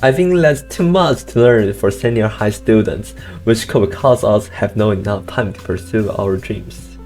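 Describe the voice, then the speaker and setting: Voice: medium pitch, a little slow, a little mellow, faint music behind. Nervous, giggly teen English learner. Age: teens. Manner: hesitant, nervous, giggly, repeats words. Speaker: senior high student, interviewee. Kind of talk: casual interview in learner English.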